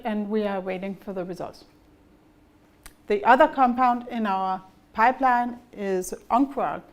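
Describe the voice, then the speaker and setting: Middle-aged Danish-accented woman, company executive, calm explanatory conference talk at a mid-range pitch. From Denmark. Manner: measured and explanatory, with occasional fillers and restarts. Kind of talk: investor conference presentation.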